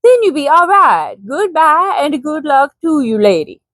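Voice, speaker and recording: A woman's voice: sits high, very animated, unhurried, fairly bright tone, no echo, pitched above the performer's own voice, heavily acted. Woman doing a Swedish guide, man, blunt and loyal, broken English, raised voice, dry sound. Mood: earnest. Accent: Swedish (put on).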